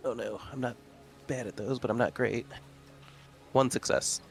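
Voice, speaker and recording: A man's voice: mid-range voice, fairly even intonation, moderate pace, gravelly, soft background music. A man playing a tabletop roleplaying game, speaking in a conversational, slightly tentative way. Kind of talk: casual in-character roleplay chat.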